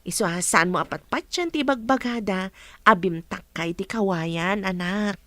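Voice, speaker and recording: A woman playing a tender, protective mother in a radio drama, speaking gently with a Filipino Ilocano accent. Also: medium pitch, a little slow, dry recording.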